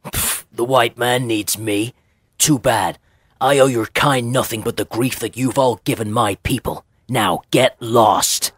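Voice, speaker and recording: A man acting a proud, scornful young man with a raspy voice and gruff, defiant manner in an audio drama. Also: mid-range voice, measured pace, dry recording. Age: young adult.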